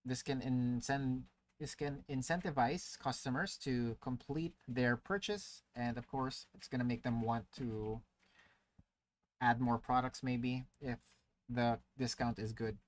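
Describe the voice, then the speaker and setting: A man giving a casual, step-by-step software tutorial as a voice-over to a screen recording, explaining with frequent filler words. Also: medium pitch, a little slow. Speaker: tutorial presenter.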